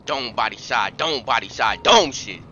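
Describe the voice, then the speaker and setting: A man's voice: medium pitch, expressive, normal speed, bright, forceful tone, a little hiss or hum. A man trash-talking during an online role-play game, in muffled stream audio.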